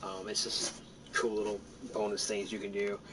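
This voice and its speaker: Low-voiced man doing casual game commentary, noisy recording. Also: little pitch movement, moderate pace, a bit bright. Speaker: gaming streamer. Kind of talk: casual let's-play commentary.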